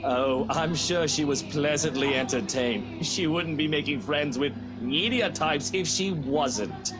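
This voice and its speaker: A man's voice: fairly high pitch, unhurried, music in the background, natural voice. A man voicing a pompous, sardonic upper-class husband in a visual novel, speaking with haughty, drawling sarcasm. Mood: wry. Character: sardonic, pompous aristocratic husband who likes his drink. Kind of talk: visual novel character acting.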